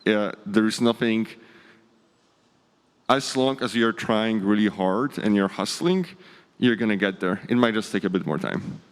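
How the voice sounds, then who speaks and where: A man speaking as a panelist in a conference discussion, measured and conversational, often adding "right?". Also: lowish voice, clear, resonant tone. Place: conference stage. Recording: hall reverb, event stage.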